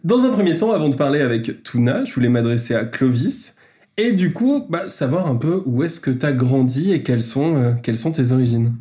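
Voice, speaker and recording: A man's voice: medium pitch, lively intonation, fairly quick, mellow. A man hosting a podcast interview, playful and teasing, fond of flowery introductions. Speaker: podcast host.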